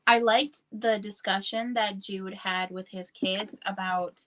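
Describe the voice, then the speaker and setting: Bright-voiced woman in casual book chat. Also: mid-range voice, steady tone, takes it slowly.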